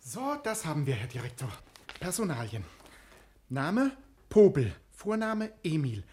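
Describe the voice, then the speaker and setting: An actor playing a dutiful, officious prison official with a clipped bureaucratic manner in a radio crime drama. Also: male voice, on the high side, sing-song, measured pace, a little mellow, a little hiss or hum.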